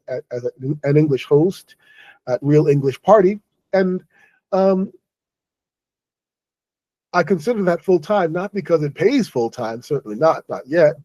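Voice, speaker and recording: A tired middle-aged man talks slowly to his viewers. He stops and restarts often. His voice sits a little high for a man, with a mellow, warm tone, and the room sounds dry and close. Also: no room sound. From New York City. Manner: halting, many restarts and self-corrections. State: weary after a stressful day. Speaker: online English host. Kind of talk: casual monologue to viewers.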